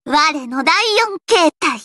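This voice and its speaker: Casting: teenage anime game idol, piercingly high and unhurried, dark-fantasy pomposity over a bashful girlish core. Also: expressive, dry recording, studio quality, full character voice. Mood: grandiose, dramatic. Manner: switches between pompous archaic proclamations and bashful plain girlish speech. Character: theatrical teenage idol girl, grandiose dark-fantasy poser, shy underneath. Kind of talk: anime mobile game voice lines.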